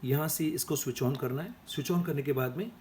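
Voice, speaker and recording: A man's voice: a little high, fairly quick, darkish tone. A man giving an explanatory product demonstration, addressing viewers as friends. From India. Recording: clear background noise and ambience.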